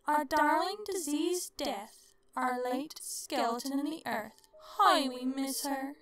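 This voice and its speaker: A woman's voice: sits high, fairly bright tone, pitched above the performer's own voice. Woman playing a taunting, theatrical, morbid ghost over music. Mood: smug, coaxing. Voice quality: groaning, creaky, cackling delivery. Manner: theatrical, drawn-out mock-sweet taunting. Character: gleefully morbid, taunting ghost haunting a hospital closet.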